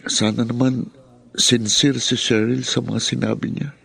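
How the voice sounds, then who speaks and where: Proud, wounded younger brother in radio drama: husky mid-range voice, Filipino accent, emotionally raw. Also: male voice, medium pitch, level tone, unhurried, a bit bright, heavily acted, poor audio. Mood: resigned, sad. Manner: sarcastic, emotional, dramatic delivery. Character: proud, wounded younger son, bitter and self-reproaching, later humbled.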